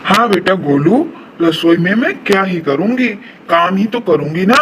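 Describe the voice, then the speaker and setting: A man's voice: high-pitched, animated, normal speed, fairly bright tone, slight background noise. A man voicing an aggrieved, scheming elderly mother-in-law who complains like a martyr in a cartoon family melodrama. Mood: complaining. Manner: complaining, self-pitying, martyred.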